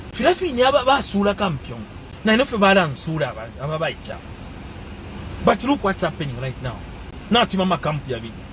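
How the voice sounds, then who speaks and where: A man's voice: high-pitched, a bit bright, a little hiss or hum. A man giving a passionate, emphatic monologue and switching between languages as he speaks.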